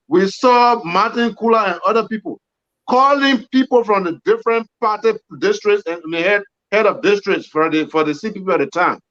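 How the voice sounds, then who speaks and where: A man's voice: high-pitched, a bit bright. A man in his forties arguing emphatically in a political debate, accusing opponents. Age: 40s. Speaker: panelist. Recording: dull lo-fi audio.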